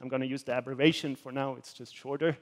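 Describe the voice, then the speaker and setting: A man's voice: medium pitch, steady tone, quick pace. Young Swiss man lecturing with fillers. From Switzerland. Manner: hesitant, many filler sounds; gestures while speaking, walks around the stage. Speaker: guest lecturer. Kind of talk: academic talk with slides. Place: university lecture hall stage.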